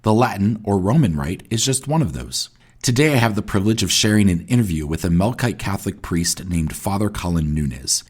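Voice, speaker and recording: Male podcast host, low and animated, friendly interviewer. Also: fairly quick, husky. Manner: friendly, lively questioning. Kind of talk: interviewing, scripted intro.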